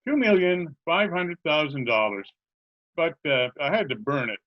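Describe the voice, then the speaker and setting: A man's voice: on the high side, sing-song, moderate pace. A man playing a genial, scatterbrained butcher in old-time radio comedy style, chatty, earnest and politely literal-minded. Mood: chatty, obliging. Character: genial scatterbrained male butcher who muddles every question. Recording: dull remote video-call recording.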